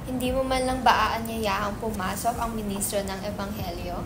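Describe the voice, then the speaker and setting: A woman's voice: mid-range voice, fairly even intonation, normal speed, close to an everyday voice. A woman playing an imperious, sanctimonious church minister in a dramatic reading, speaking formally and commandingly. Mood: pompous, formal. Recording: echoey room with clear background noise.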